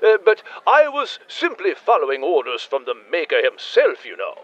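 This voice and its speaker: Muffled, bumbling posh British whale. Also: male voice, on the high side, wildly swooping pitch, moderate pace, a bit bright, full character voice. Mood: genial, modest. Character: huge, genteel, modest adventurer whale, male. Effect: speakerphone. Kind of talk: comic audio drama banter.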